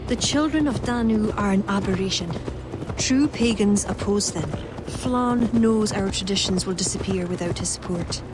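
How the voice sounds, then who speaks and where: An actress playing a wise, composed female ally, calm and explanatory. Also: medium pitch, normal speed, close to an everyday voice. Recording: game audio with ambient noise.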